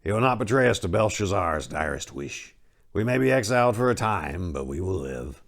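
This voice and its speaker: A solemn old prophet done by a male narrator counsels his pupil in a dramatic storybook reading. His voice is low and measured, earnest and quietly authoritative. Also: big pitch swings, creaky, heavily acted. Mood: solemn reassurance. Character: wise, solemn old prophet, stern but fond mentor.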